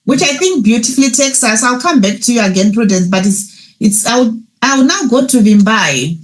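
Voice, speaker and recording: A woman's voice: fairly high pitch, expressive, normal speed. A woman hosting a fireside chat on a video call, introducing guests and affirming them with frequent "mm"s. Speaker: host, moderator.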